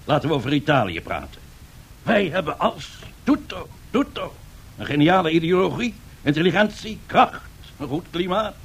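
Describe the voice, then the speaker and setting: An actor playing a pompous, boastful Italian fascist dictator in a radio drama, husky and given to grandiose speechmaking. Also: male voice, on the high side, very animated, moderate pace, full character voice. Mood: boastful persuasion. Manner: grandiose, self-satisfied, rhetorical speechmaking. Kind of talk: 1970s radio drama acting.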